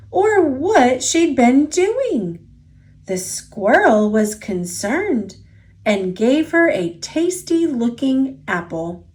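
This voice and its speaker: A woman reading a children's picture book aloud as a storyteller, expressive and deliberate, drawing out key words. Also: sits high. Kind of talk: children's story read-aloud, scripted narration.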